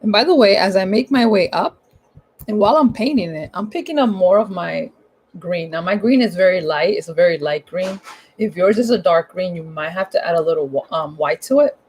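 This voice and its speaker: A woman with a hoarse, husky voice giving a casual, chatty step-by-step painting tutorial at home, repeating her instructions in informal language. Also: lowish voice, brisk, a little mellow, slight background noise.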